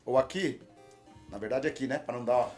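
A man with a Brazilian accent, a retired footballer, telling animated stories in a casual chat and repeating his phrases. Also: medium pitch, fairly quick, soft background music. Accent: Brazilian Portuguese.

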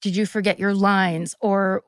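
A woman's voice: medium pitch, level tone, a little slow, clean tone, no room sound, studio quality. A woman co-hosting a podcast, chatting casually and playfully riffing on jokes. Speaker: podcast co-host. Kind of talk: casual comedic banter.

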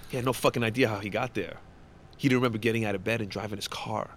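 An actor playing a brash, foul-mouthed war-veteran coworker, blunt, pushy and joking, in a naturalistic audio drama. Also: male voice, fairly low pitch, steady tone, very fast, a bit bright, a little hiss or hum, lightly acted. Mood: gruff, friendly.

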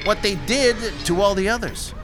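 A man's voice: high voice, lightly acted, poor audio. An actor playing a smug, ghoulishly casual henchman in an audio thriller. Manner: ghoulishly casual, taunting, drawn-out phrasing. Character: gloating, matter-of-fact male henchman, captive but smug. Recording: music behind the voice.

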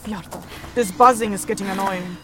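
Woman acting a brisk, practical fantasy traveler. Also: medium pitch, steady tone, natural voice. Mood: brisk, impatient. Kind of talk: immersive fantasy audio drama acting.

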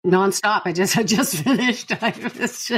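A woman's voice: mid-range voice, unhurried, slightly rough. A middle-aged woman co-hosting a podcast, chatting casually and teasing playfully. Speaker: podcast co-host. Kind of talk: casual chat.

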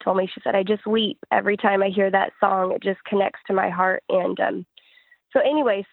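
A woman answers interview questions as a singer-songwriter in a conversational way. Her pitch moves very little, staying even from phrase to phrase. The audio is narrow and phone-like, with a dry sound. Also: fairly quick, vocal fry, no room sound.

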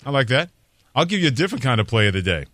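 A male sports radio host with a mid-pitched voice, bantering in a lively, emphatic way. He repeats words for effect, and the sound is close and dry, as in a studio. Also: rapid-fire, dry recording.

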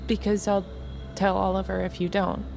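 An actress playing a breezy, quietly manipulative woman in a naturalistic audio drama, chatty, coy and insistent. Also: mid-range voice, little pitch movement, normal speed, creaky, soft background music, lightly acted.

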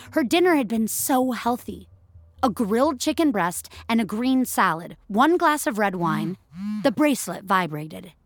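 A woman narrating scripted fiction as a storyteller. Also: mid-range voice.

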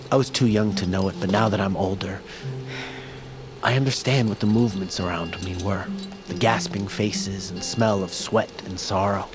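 Brooding male outcast warrior played by a man, low voice, poetic and archaic, for a fantasy audio drama. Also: natural voice. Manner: poetic, archaic, sardonic turns of phrase. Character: brooding male fighter of the Nameless, abandoned as a child. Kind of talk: dramatic audio fiction acting.

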